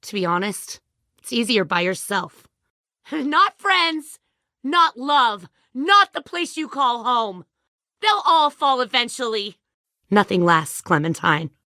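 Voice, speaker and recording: A woman's voice: on the high side, very animated, takes it slowly, bright, forceful tone, no echo, clean studio sound, full character voice. An actress voicing a ruthless, hardened woman who leads a survivor militia in a video game drama, speaking in a controlled, menacing and persuasive way. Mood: bitter, strained.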